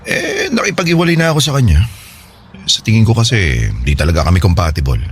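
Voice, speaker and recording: A man's voice: lowish voice, wildly swooping pitch, quick pace, a little hiss or hum. A man playing an earnest, regretful separated husband and devoted father in a radio drama, speaking in a confiding, hesitant way. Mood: hurt, confiding. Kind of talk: Filipino radio drama dialogue.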